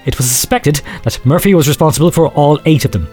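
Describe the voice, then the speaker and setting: Man playing a grave researcher, lighter-pitched, ominous. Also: expressive, fast talker, mellow, faint music behind, close to an everyday voice. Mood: ominous confession. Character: grave male researcher confessing secret horrific experiments. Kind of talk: dramatic horror storytelling, read aloud.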